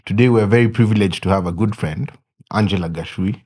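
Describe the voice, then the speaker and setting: Deep husky-voiced podcast host. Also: male voice, a little mellow. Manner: measured, encouraging, frequent backchannel hums. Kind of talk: podcast interview.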